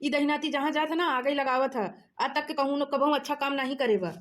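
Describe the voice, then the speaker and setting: A woman plays an exasperated village mother from a comedy cartoon. Her voice is high, with a rustic eastern Uttar Pradesh accent. She scolds and threatens with a sharp tongue. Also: fairly quick, lightly acted. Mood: indignant, protective. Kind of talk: comic cartoon dialogue.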